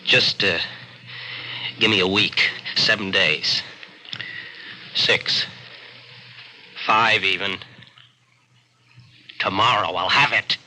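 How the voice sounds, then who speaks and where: An actor playing a desperate small-time gambler in 1940s radio drama style, pleading in a nervous, halting way. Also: male voice, fairly low pitch, fairly even intonation, sharp tone, full character voice. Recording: noisy old-time radio recording.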